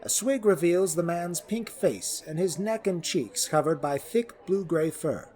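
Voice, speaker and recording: A man's voice: on the high side, expressive, unhurried, a little mellow. A man narrating a scripted fantasy story as its storyteller.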